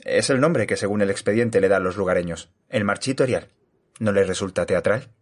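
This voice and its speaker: A man playing a curious, literary-minded state employee, narrating a horror audio drama in a measured, formal way. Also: on the low side, natural voice. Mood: polite, hesitant. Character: curious, literary-minded male civil engineering employee narrating his memoir. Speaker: narrator and lead actor.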